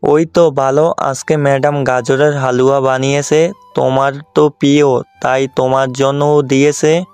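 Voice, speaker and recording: A man's voice: medium pitch, level tone, normal speed, a little mellow, clean tone, no room sound, soft background music, natural voice. A man playing a friendly office colleague in an audio story.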